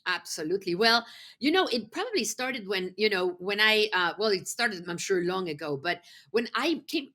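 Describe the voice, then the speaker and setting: A woman from Mexico City whose first language is Spanish talks as an interview guest. Her voice is bright and forceful at a medium pitch, and her delivery is conversational, emphatic and enthusiastic. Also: big pitch swings, normal speed. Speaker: podcast guest, nonprofit executive.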